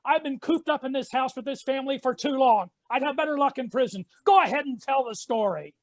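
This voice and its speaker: A woman's voice: high-pitched, fairly quick, no echo. A woman acting in a stage farce as a haughty, theatrical man who poses as his own daughter. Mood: exasperated.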